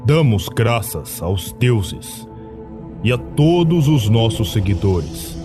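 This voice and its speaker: A man playing a solemn priest of a dark cult, speaking with grandiose, ceremonial reverence in a horror podcast. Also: lowish voice, big pitch swings, takes it slowly. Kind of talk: occult sermon in horror podcast. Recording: background music under voice.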